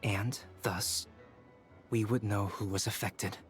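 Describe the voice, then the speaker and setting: A man voicing a composed, clinical young alchemist in a video game, measured and formal. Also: fairly low pitch, fairly even intonation. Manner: measured, matter-of-fact, formal. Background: game music.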